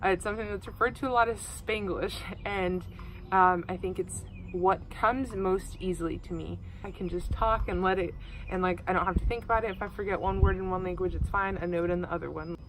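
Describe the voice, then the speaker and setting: A woman's voice: lowish voice, fairly even intonation, fairly quick, a little hiss or hum, rough recording. A nineteen-year-old woman from Oklahoma in an interview, talking thoughtfully with frequent ums and uhs. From Oklahoma, USA. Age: teens. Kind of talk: casual recorded interview. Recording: music playing underneath.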